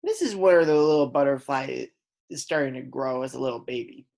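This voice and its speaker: A woman reading aloud as a kindergarten teacher, in a deliberate, instructional way that stresses key words. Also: rumbling low, creaky, darkish tone. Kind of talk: read-aloud lesson for young children.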